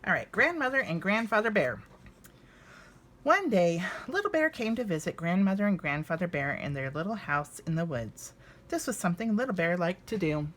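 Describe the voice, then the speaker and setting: American woman, 50s, informal children's story reader, hesitant chatty asides, lowish animated voice, noisy recording. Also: normal speed. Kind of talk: informal read-aloud with chatty introduction.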